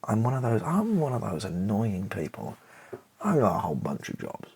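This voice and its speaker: A man chatting in a relaxed ASMR style, rambling and self-deprecating with a wry touch, his voice husky and creaky. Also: lowish voice, normal speed. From Britain. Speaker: ASMR YouTuber and playwright. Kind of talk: relaxed ASMR-style casual chat to camera.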